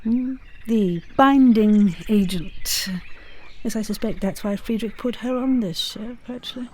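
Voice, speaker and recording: A flustered bossy headmistress, highish voice, stalls and hedges, takes her time. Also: darkish tone. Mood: evasive. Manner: halting, hedging, breezily authoritative. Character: flustered, evasive, imperious headmistress. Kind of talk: audio comedy drama acting.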